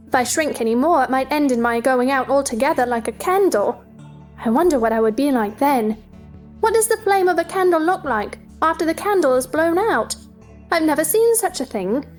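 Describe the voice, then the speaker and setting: A woman's voice: sits high, expressive, moderate pace, darkish tone, soft background music. A woman playing a polite, curious, bewildered seven-year-old girl in a theatrical audio drama, earnest and wondering.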